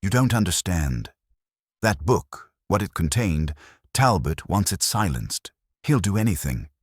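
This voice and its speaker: A frightened, desperate man played by a male narrator in an audiobook. He talks in husky, urgent bursts, halting and nervous, with his pitch swinging widely. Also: sing-song, dry recording, clean studio sound, heavily acted. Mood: pleading, fearful. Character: twitchy, frightened, desperate man seeking help.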